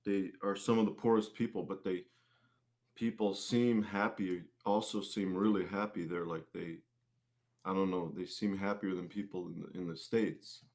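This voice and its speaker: Deep-voiced man in casual, halting interview chat. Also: fairly even intonation, darkish tone. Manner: halting, rephrases mid-thought. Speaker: interview guest, singer-musician. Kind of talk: casual conversational interview answers.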